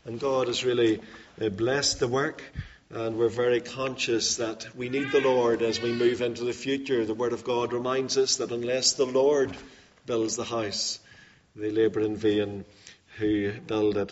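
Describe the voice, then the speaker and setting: A middle-aged man with a Northern Irish accent addresses a church congregation on behalf of his mission. He speaks in an earnest, measured way, pausing often on "uh", and his delivery stays fairly flat. The recording is dull and lo-fi, with faint hiss behind him. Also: medium pitch. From Northern Ireland. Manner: measured, earnest, frequent "uh" fillers. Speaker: mission assistant director.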